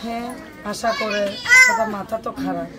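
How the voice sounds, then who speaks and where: A woman's voice: on the high side, big pitch swings, normal speed. An eighteen-year-old woman with a rural central Bangladeshi accent, giving brief, hesitant answers as an interview guest. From Tangail, Bangladesh. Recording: very noisy, loud crowd ambience.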